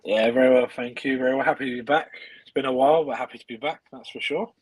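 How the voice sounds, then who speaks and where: Husky man, excited and repetitive. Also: mid-range voice, quick pace, no echo, poor audio. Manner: enthusiastic, repeats himself. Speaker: guest. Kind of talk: casual chat.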